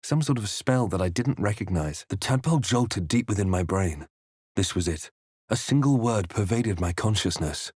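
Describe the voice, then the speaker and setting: Low, measured fantasy game adventurer. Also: male voice, lively intonation, a little mellow, breathy edge, dry recording, studio quality. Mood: grave, intent. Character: male fantasy adventurer hero, measured, wary, quietly wry. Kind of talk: video game voice lines, dramatic narration and muttered asides.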